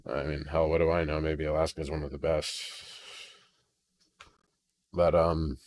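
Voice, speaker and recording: A man's voice: rumbling low, flat delivery, normal speed, a little mellow. An American man recording a casual, unscripted audio diary at home, rambling hesitantly in a resigned way, with crackling in the recording. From Maine, United States. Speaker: video creator recording an audio log. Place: at home at a computer.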